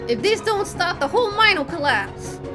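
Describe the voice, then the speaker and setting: Woman acting old male dwarf, grumpy miner, begrudging, folksy grumbling, game dialogue, clear background music. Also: extremely high pitch, very animated, normal speed, voice pushed up. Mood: exasperated. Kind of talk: reading game dialogue aloud.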